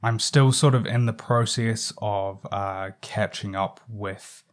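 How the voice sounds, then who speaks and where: A young man hosting a solo podcast speaks with a Southern New Zealand accent and rolls his R's. His voice sits a little low, and he muses aloud in a halting way, full of fillers and restarts. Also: fairly even intonation. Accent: Southern New Zealand, rolled R's. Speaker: podcast host.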